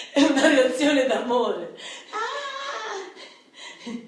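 A woman's voice: high voice, wildly swooping pitch, extremely slow, far from the mic, full character voice. A woman playing a volatile, defiant wife in a radio drama, theatrical and edgy, with a Roman accent. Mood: manic, defiant. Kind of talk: stage-style radio drama. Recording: echoey hall from afar, hissy.

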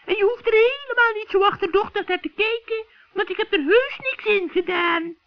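A man voicing a scheming old witch in a children's radio play, shrill and cackling, with sugary, wheedling friendliness. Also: gravelly, dry recording. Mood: sugary, gleeful. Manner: wheedling, drawn-out coaxing. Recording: dull, dry vintage radio recording.

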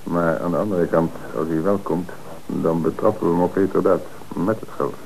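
An actor playing a calm, shrewd police commissioner who gives orders and explains the case in an old radio play. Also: male voice, deep voice, moderate pace, vocal fry, a little hiss or hum, rough recording. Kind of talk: radio drama acting.

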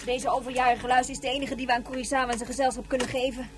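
An actress plays an irritable, blunt mechanic in a science-fiction radio play. Her high voice grumbles dismissively, with loud music underneath. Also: flat delivery, very fast, lightly acted. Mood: grumbling, dismissive. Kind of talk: Dutch radio drama acting.

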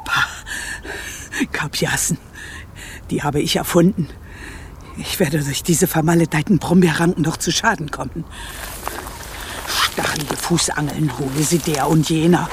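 A woman's voice: sits low, steady tone, unhurried, pauses often, a little hiss or hum. An actress playing an imperious old witch grandmother in a radio play, haughty, biting and sardonic. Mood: grumbling, scheming. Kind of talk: German radio play acting.

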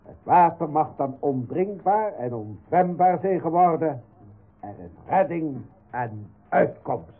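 A man's voice: a little high, animated, slow. A man acting a self-important, oratorical village benefactor in a radio drama. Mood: grandly reassuring. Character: grandiloquent male benefactor and self-proclaimed discoverer. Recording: tinny narrow-band radio recording with hiss.

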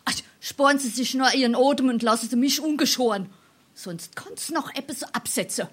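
A saucy, scornful tavern maid in a dialect stage comedy. Her voice sits fairly high, and she fires off snappy, dismissive retorts in a Darmstadt Hessian accent. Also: normal speed, fairly bright tone, husky. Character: sharp-tongued, dismissive young tavern maid. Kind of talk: theatrical dialect farce acting.

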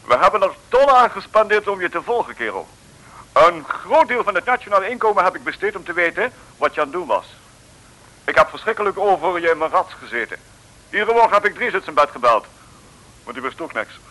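An irritable male colonel in a vintage radio comedy-drama. He blusters and scolds in a high, piercing voice that swoops around with exasperation. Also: big pitch swings, brisk, pushed and bright, full character voice, low-quality recording. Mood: exasperated. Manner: gruff, exclamatory, scolding. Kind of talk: old radio serial acting.

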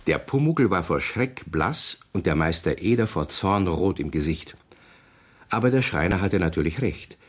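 A man narrating a German children's radio play as a calm storyteller, in scripted storybook style. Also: low voice, moderate pace, husky. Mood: calm, storytelling.

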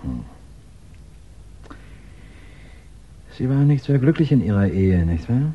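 An actor playing a composed, probing police inspector in a 1960s German radio crime drama, deliberate and dryly polite. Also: male voice, medium pitch, sing-song, breathy edge. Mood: probing, insistent. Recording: dull vintage 1960s radio recording.

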